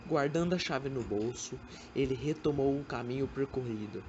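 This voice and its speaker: A woman's voice: rumbling low, moderate pace, darkish tone. Narrator, female, scripted storytelling for student radio drama. Somewhat lo-fi sound, light background noise. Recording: dull amateur recording with faint noise.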